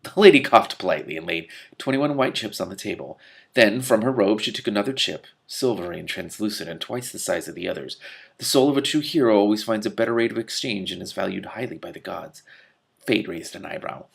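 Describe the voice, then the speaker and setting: Low, gravelly man reading aloud. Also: lively intonation, a little fast. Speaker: reader and commentator. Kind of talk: reading a fantasy novel aloud with casual commentary.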